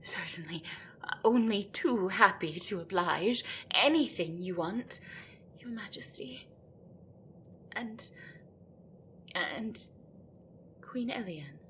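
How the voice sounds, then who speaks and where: A woman playing a frightened, desperate gryphon in an audio roleplay, pleading and deferential, with halting, stammering delivery. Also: mid-range voice, animated, fairly bright tone, slight background noise. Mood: meek relief.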